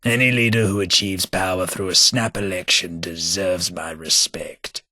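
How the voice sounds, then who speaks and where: A man with a British accent voicing a sardonic, world-weary male ghoul companion in a video game, with a dry, sarcastic, upper-class wit and a husky voice. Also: low voice, fairly even intonation, moderate pace, bright, forceful tone, no echo. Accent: British English.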